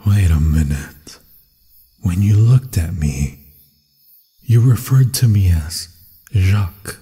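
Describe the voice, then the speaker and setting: A man's voice: low voice, animated, slow, warm tone, husky, full character voice. A man voicing a hungry, possessive werewolf in an ASMR fantasy roleplay, savoring his words seductively and often slipping into whispers. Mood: hungry, teasing. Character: hungry, possessive male werewolf, menacing yet infatuated. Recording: long cavernous reverb.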